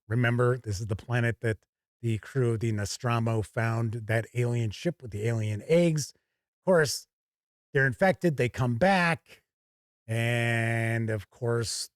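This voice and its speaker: A man hosting a game review, explaining things in a conversational, measured, unscripted way as he talks to camera. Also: mid-range voice, no echo, clean studio sound. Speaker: board and roleplaying game reviewer, show host.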